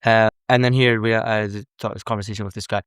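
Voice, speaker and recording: A man's voice: lowish voice, level tone, fast, fairly bright tone, clean tone, dry recording, studio quality. A young man from Sweden, a language coach, explaining in casual unscripted live commentary, earnest and correcting himself often.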